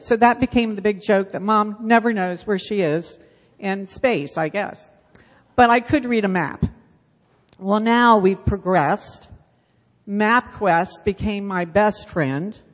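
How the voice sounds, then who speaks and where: A middle-aged woman speaks to a church congregation through a handheld microphone, chatty and self-deprecating as she tells a story. Her voice sits at an ordinary middle pitch. The long reverb of the church sanctuary trails behind her words. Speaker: church Bible school leader. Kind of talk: casual church announcement and talk.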